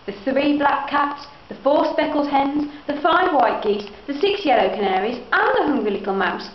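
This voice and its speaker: A woman's voice: high voice, lively intonation, moderate pace. A young woman reading a children's picture book aloud as a student narrator. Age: young adult. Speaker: student reader. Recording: echoey, muffled room, faint background noise.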